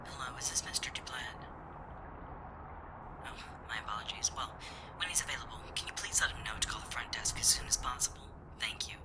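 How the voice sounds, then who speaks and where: A man puts on a weary front desk clerk for an audio roleplay. The voice is breathy and whispered throughout. The manner is prim and formal, with a dry, dismissive edge. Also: medium pitch, fairly quick, lightly acted, poor audio. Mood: polite. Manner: formal, dryly dismissive, hesitant uhs. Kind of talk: ASMR roleplay voice acting.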